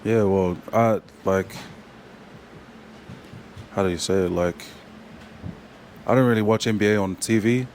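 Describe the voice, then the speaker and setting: A young man with a New Zealand accent, a rugby player chatting as a guest in a casual interview, halting and full of fillers and restarts. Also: on the low side, a little mellow, slight background noise.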